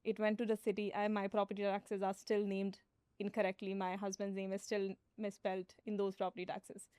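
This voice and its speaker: A woman's voice: medium pitch, monotone, brisk, warm tone, clean tone, no room sound. A woman founder as a podcast guest, explaining steadily with frequent fillers. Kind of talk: conversational interview.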